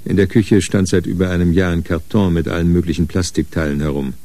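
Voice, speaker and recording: A man narrating a radio crime drama in a sober, matter-of-fact, measured way. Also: deep voice, steady tone, mellow, close to an everyday voice. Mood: wry, reflective. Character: sober narrator of a hard-boiled crime story.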